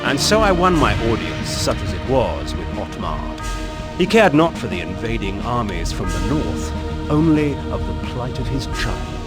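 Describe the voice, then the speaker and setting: Disdainful undead noble, game narrator, haughty, eloquent, bitter, measured, animated intonation. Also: male voice, medium pitch, loud music behind the voice. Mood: sardonic. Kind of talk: theatrical video game narration.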